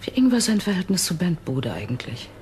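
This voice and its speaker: A woman's voice: on the low side, sing-song, a little fast, a little mellow, slight background noise. A woman acting a composed, direct police detective in a crime radio play, asking pointed questions. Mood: calm, probing.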